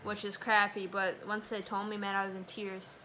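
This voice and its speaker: Bright-voiced young woman, chatting casually. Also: medium pitch, monotone, normal speed, a little hiss or hum, rough recording. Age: young adult. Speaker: vlogger, new mother. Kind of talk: casual storytime chat.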